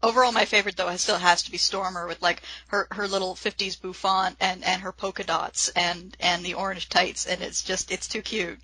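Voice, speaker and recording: Bright-voiced woman co-hosting a casual chat. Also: on the low side, level tone, moderate pace, hardly any pauses, poor audio. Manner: amused, enthusiastic. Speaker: podcast co-host.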